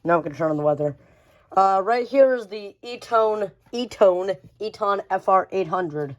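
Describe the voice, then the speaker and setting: A woman's voice: mid-range voice, animated, normal speed, highs cut off, slight background noise. A woman giving a casual, unscripted show-and-tell of a collection, rambling and often repeating phrases. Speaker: radio collector showing a collection.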